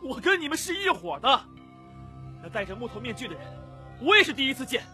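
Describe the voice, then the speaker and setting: A woman's voice: high-pitched, very animated, quick pace, bright, forceful tone, soft background music, heavily acted. A woman voicing an earnest, troubled young male cultivator in an audiobook, speaking emphatically and pleadingly. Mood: earnest, persuading. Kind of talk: audiobook character dialogue.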